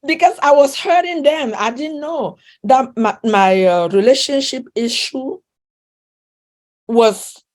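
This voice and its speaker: A woman's voice: high-pitched, slow. A woman speaking English with a non-native accent, a relationship coach talking earnestly in an interview, often restarting sentences. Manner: earnest, with frequent restarts and soft laughs. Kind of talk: conversational interview.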